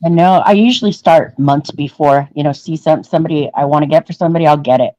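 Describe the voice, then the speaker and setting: A woman's voice: low-pitched, fast, warm tone, dry recording, lo-fi sound. A woman co-hosting a livestream, gossiping in casual chat and ranting bluntly with a lot of cursing. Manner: reads viewer comments aloud. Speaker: livestream co-host.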